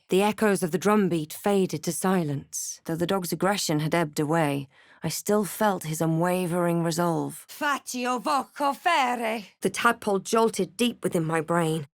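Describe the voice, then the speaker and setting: A woman playing a wry, brooding adventurer heroine in a fantasy video game, with a measured, dramatic delivery. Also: on the low side, animated, dry recording, studio quality. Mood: commanding incantation. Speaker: video game player-character voice actor. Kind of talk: scripted game narration and barks.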